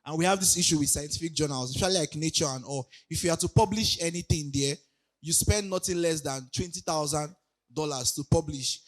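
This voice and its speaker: A young man speaks at a conference, his voice raspy as he recovers from illness. He sits a little higher than most men and stops often to hesitate or restart a thought. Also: a little fast. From Nigeria. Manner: hesitant, many ums and restarts, laughs lightly. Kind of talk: casual conference talk. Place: conference hall.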